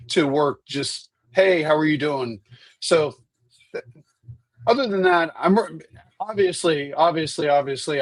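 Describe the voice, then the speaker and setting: A man in his fifties, stuffy and husky from allergies, answering questions as a candidate on a video call, chatty with frequent restarts. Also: fairly high pitch, no room sound. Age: 50s. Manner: chatty, restarts and repeats words. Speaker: state senate candidate. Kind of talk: virtual town hall answers.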